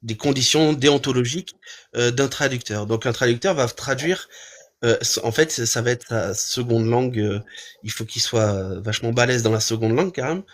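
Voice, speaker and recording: Male stream host, mid-pitched, halting and calm in debate. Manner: hesitant, many restarts, calm arguing. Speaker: livestream host. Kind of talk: casual debate on a live stream.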